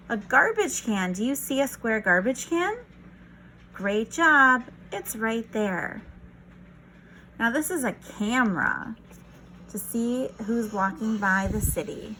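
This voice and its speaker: Female children's read-aloud teacher: unhurried, lively pitch swings, encouraging and instructional, slight noise behind. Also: poor audio. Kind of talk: reading a picture book aloud to young children.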